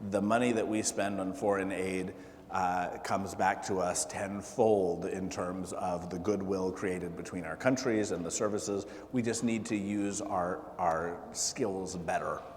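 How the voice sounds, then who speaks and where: A man speaking as a political candidate at a town hall, halting and full of fillers and restarts. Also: low voice, steady tone. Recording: echoey hall with faint noise.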